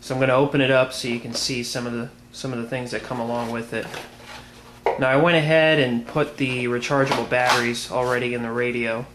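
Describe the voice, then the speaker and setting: An American man giving an informal product demonstration as a video reviewer, plain-spoken and unhurried. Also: mid-range voice, level tone. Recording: clearly noisy amateur video sound.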